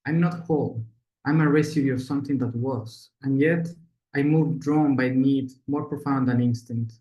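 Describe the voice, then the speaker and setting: A man's voice: mid-range voice, steady tone, normal speed, mellow, reverberant, highs cut off. Man presenting. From Ecuador. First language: Spanish. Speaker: student presenter, product designer. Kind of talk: project presentation, moving into scripted narration.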